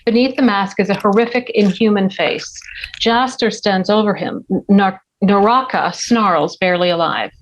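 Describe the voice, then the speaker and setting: A woman's voice: mid-range voice, moderate pace, poor audio. A woman narrating action lines in a screenplay table read. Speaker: narrator reading stage directions.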